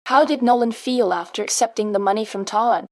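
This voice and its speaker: A woman reading scripted comprehension narration for English learners in a measured, articulate manner. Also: on the high side, dry recording, studio quality. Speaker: question-and-answer presenter for English learners. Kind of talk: scripted question-and-answer narration.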